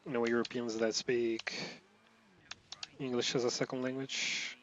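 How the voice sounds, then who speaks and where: A man from Brazil commenting casually while playing a racing game, rambling, running words together, swearing, with loud outbursts at his mistakes. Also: mid-range voice, level tone, measured pace, lo-fi sound, a little hiss or hum. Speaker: gameplay streamer.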